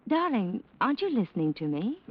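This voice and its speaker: Scheming wife, swooping delivery. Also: female voice, fairly high pitch, brisk. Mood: coaxing, sugary. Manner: coaxing, sugary, expressive. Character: smooth, scheming, flirtatious wealthy wife. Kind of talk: 1940s radio acting. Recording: dull old radio recording.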